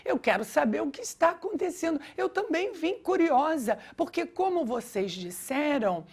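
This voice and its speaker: A woman's voice: high-pitched, big pitch swings, moderate pace, a little mellow. A middle-aged Brazilian Portuguese-speaking woman teaching a lesson with personal anecdotes in an emphatic way, acting out reported dialogue. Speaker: teacher of a religious education lesson.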